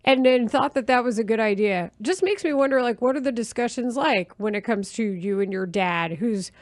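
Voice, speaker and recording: Female sports radio host, American accent, higher-than-average pitch, very dry recording, conversational interviewer. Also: normal speed, no echo.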